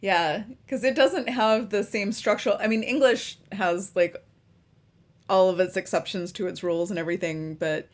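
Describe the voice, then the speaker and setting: A woman's voice: medium pitch, steady tone, normal speed. A woman hosting a casual, conversational interview. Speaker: interviewer, host. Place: remote online conversation.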